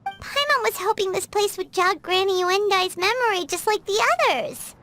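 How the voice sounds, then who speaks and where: A woman voicing a tiny, childlike floating game companion, eager and plain-spoken, with a squeaky cartoonish delivery. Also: expressive, moderate pace, sharp tone, full character voice. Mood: hopeful. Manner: squeaky, refers to self by name. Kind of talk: cartoonish video game dialogue. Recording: music clearly under the voice.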